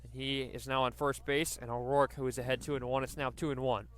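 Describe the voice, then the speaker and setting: A man's voice: mid-range voice, level tone, a little fast. A man doing live radio baseball play-by-play, describing the action and working in stats as he goes. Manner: descriptive, stat-laden delivery.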